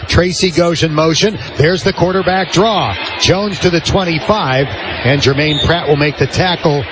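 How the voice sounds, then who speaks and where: A man's voice: a little high, unhurried. An American man calling football play-by-play on radio in clipped, descriptive phrases. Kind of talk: live American football commentary. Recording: clearly noisy live stadium broadcast.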